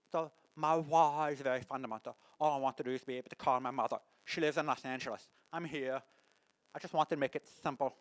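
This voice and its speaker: A deaf man with a mixed French and English accent gives a conference talk, speaking haltingly with many restarts. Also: fairly high pitch, fairly bright tone. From born in the United States, lives in France. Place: conference hall.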